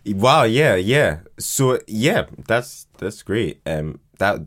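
A man chatting casually as a podcast guest, haltingly, repeating words as he thinks. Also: on the low side, very animated, a bit bright. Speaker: podcast guest, fiction podcast creator. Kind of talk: casual conversational interview.

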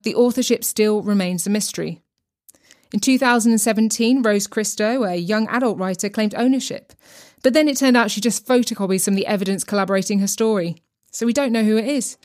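A woman's voice: medium pitch, a little fast, a little mellow. A woman presenting a podcast with scripted, tongue-in-cheek narration.

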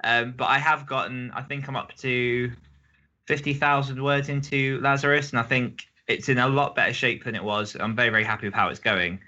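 A man's voice: mid-range voice, steady tone, fairly quick, bright, forceful tone, muffled recording. A man hosting a podcast in a casual, chatty style. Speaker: podcast host. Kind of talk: casual chat.

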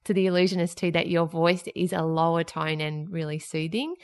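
A woman in her thirties hosting a podcast, with a young-sounding voice, who laughs often and chats warmly with her guest. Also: fairly even intonation, fairly quick, clean tone, faint music behind. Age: 30s. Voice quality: high-pitched, young-sounding voice. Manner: warm, laughs often, affirming. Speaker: podcast host. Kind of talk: casual interview chat.

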